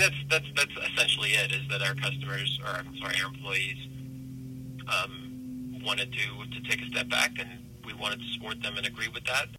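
A man giving a spontaneous, halting answer as a business owner being interviewed. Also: fairly low pitch. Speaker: brewery CEO interviewee. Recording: noisy interview tape with hum.

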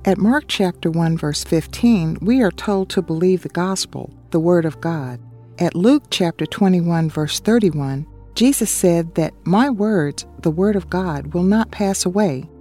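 A woman co-hosting a radio ministry, reading a scripted Bible study in a measured way and citing chapter and verse. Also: on the low side, animated, dark tone, faint music behind.

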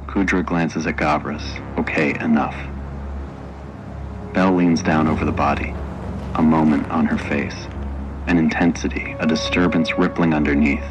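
Very deep male screenplay narrator. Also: fairly even intonation, moderate pace, music in the background, poor audio. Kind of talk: scripted screenplay narration for audio drama.

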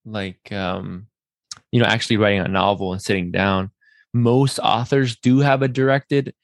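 A man's voice: lowish voice, fairly even intonation, moderate pace, dry recording. Casual male podcast host in a dry room. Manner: playful, joking, rambling questions. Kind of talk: casual chatty interview.

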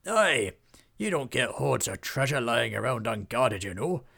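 A man doing a loud, blustering bearded adventurer. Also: mid-range voice, sing-song, unhurried, creaky, husky, heavily acted. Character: bold, boastful bearded adventurer man, reckless and cheery.

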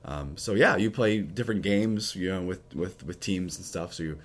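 Low-voiced raspy priest, casual chat. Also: male voice, normal speed. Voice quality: low, husky. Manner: relaxed, rambling with many fillers. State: raspy, recovering voice. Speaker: priest, podcast co-host.

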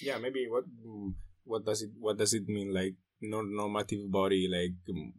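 A young man co-hosting a podcast, upbeat and halting with fillers in a casual chat. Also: lowish voice, level tone, no echo. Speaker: podcast co-host.

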